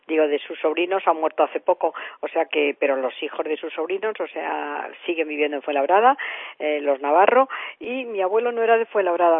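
An older woman in her seventies speaks with a Madrid Spanish accent through a narrow, phone-like radio sound. Her voice sits fairly low for a woman. She reminisces as an interview guest, rambling and repeating words for emphasis. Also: no echo. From Madrid, Spain. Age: 70s. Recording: thin telephone-like radio sound, dry.

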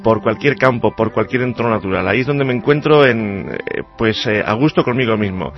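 A man's voice: on the low side, animated, brisk, gravelly, muffled recording, faint music behind. Earnest man in his forties. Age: 40s. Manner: earnest, hesitant with many restarts. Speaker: politician guest. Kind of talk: radio interview.

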